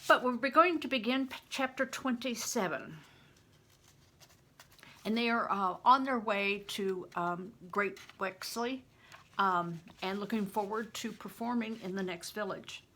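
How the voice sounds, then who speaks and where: Older lady, grandma type, reads a book aloud real slow, clear bright tone, explains stuff as she goes. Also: mid-range voice. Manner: explanatory, poses questions to listeners. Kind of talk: informal storybook reading and discussion.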